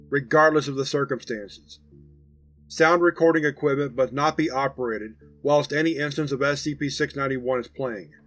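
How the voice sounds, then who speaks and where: A man reading a formal case file as scripted narration in a measured, official manner. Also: mid-range voice, a bit bright.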